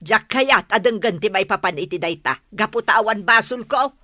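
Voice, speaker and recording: A stubborn old village mother, played by an actress, with a sharp, forceful voice at middle pitch. She is unrepentant, Ilocano-accented and recorded dry in radio drama style. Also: animated, normal speed, no echo. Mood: stubborn, defensive. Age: older.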